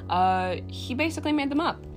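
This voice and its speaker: A woman's voice: high-pitched, lively intonation, brisk. A young woman with a stuffed-up nose explaining history in a casual talk to camera, full of ums, with sarcastic asides and some swearing. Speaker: tarot reader and video creator. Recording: music playing underneath.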